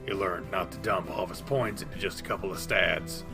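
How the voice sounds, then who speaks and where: A man voicing an excitable young male animal-person who boasts about his tabletop game characters. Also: sits low, brisk, music in the background, pitched below the performer's own voice. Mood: chatty. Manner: giggly, boastful storytelling.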